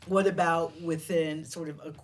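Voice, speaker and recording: A woman's voice: sits low, fairly even intonation, moderate pace, crowd noise. A woman co-hosting a casual discussion, tentatively thinking aloud as she talks. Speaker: talk show co-host.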